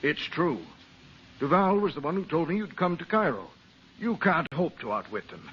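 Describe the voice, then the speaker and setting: An actor in an old-time radio drama playing an earnest, principled scientist who speaks with urgency. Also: male voice, fairly high pitch, very animated, normal speed. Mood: stern, reproachful. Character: earnest, principled male scientist, pleading, trusting. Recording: dull, noisy old radio recording.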